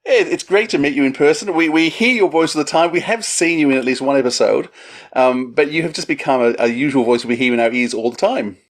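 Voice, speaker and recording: A man's voice: on the high side, brisk. A man hosting a podcast, putting questions to a guest in a casual, conversational way. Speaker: podcast interviewer.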